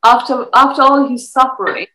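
A woman's voice: sits high, normal speed, bright, forceful tone, rough recording. A woman in her thirties from East Africa, interviewing conversationally and telling stories in a reflective way. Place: indoors, plain room, video call.

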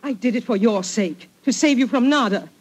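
An actress playing a haughty, sharp-tongued niece and heiress in a 1940s radio drama, indignant and accusing. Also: female voice, fairly high pitch, moderate pace, natural voice.

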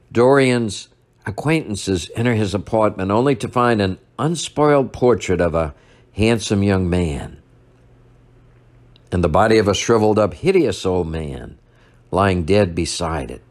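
An older man, a priest, delivering a sermon at a church lectern, retelling a story in a measured way and slowing down for emphasis. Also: fairly low pitch, lively intonation.

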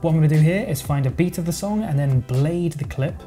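A man narrating a software editing tutorial in a relaxed, chatty way, thinking aloud as he goes. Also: on the high side, brisk. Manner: casual, explanatory, thinks aloud with verbal sound effects. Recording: voice-over with music playing underneath.

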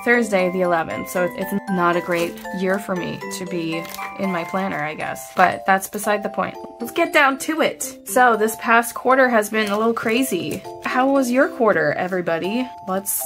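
A woman vlogging as she reviews her planner, chatty and self-deprecating, thinking aloud, her voice slightly hoarse after being ill. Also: medium pitch, moderate pace, over music. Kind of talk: casual chat, plan with me.

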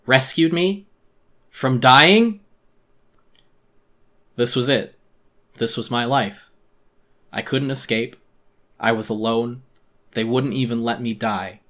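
A man's voice: mid-range voice, slow, long pauses, a bit bright. A man narrating a first-person horror story as a theatrical dramatic reading.

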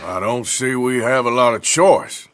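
A man's voice: mid-range voice, unhurried, fairly bright tone, natural voice. An actor playing a rugged, plainspoken outlaw gunman in his thirties in a video game, with an American accent and a matter-of-fact manner. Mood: resigned, practical. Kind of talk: dramatic video game acting.

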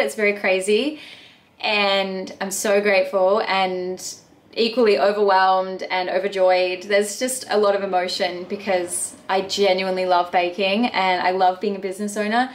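A woman in her thirties, a bakery owner, chatting earnestly to camera from her bedroom in a casual question-and-answer video. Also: medium pitch. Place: bedroom at home.

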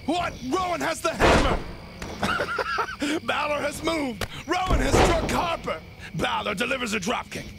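A very high-pitched, gravelly villain, master of monsters, commentating on a video game wrestling match. He is gleeful, sinister and melodramatic, with wildly swooping intonation. Crickets and night noise sit behind him. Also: huge pitch swings, exaggerated voice. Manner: melodramatic, sinister, cackling, drawn-out exclamations.

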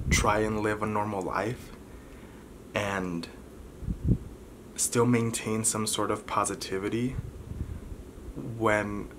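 Slow, halting young man, low voice. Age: 20s. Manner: halting, pausing often between short phrases. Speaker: vlogger. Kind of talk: candid personal talk to camera. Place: bedroom, indoors. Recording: clearly noisy room recording.

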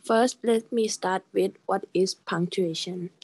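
A young woman reads a class presentation as a student. Her voice is of average pitch, with a dry sound free of room echo. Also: takes it slowly, a little mellow, slightly rough. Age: young adult. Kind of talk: scripted class presentation read aloud.

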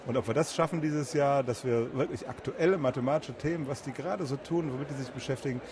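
A man's voice: mid-range voice, fast talker, noisy background. A man giving informal, spontaneous answers as an interview guest. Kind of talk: casual spoken interview answers. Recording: busy crowd at an event.

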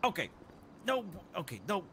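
A man's voice: sits high, sing-song, moderate pace, sharp tone, a little hiss or hum. A man role-playing in a video game, rambling and repeating himself with casual profanity. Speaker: role-play gamer.

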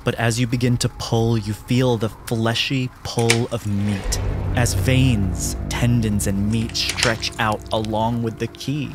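A man narrating a horror tabletop game as game master, in a deliberate, descriptive, atmospheric storytelling manner. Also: mid-range voice, fairly even intonation, music in the background.

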